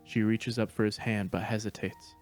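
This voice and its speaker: A man narrating stage directions in a steady, matter-of-fact reading voice. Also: on the low side, moderate pace, soft background music. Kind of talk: plain read-aloud narration.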